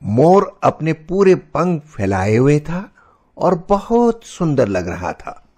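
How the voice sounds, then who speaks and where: Slow, animated male storyteller. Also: on the high side, heavy background noise. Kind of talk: scripted children's story narration.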